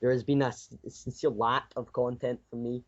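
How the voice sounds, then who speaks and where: A man's voice: mid-range voice, little pitch movement, normal speed, highs cut off. A sixteen-year-old male game developer giving casual, unscripted interview answers, rambling and often repeating his phrases. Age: 16, teenager. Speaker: self-taught indie game developer being interviewed.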